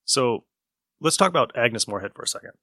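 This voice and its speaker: Bright-voiced man, dry close sound. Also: mid-range voice, lively intonation, fast, dry recording. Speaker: podcast host. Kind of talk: casual chat about old radio shows.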